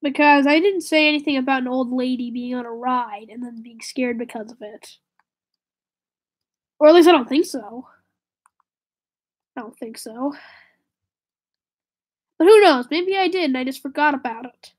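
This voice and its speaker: A woman live streaming and chatting casually with viewers, slightly raspy with a stuffy nose from a cold, rambling and correcting herself. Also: high voice, normal speed, pauses often. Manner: rambling, self-correcting, drifts to a whisper.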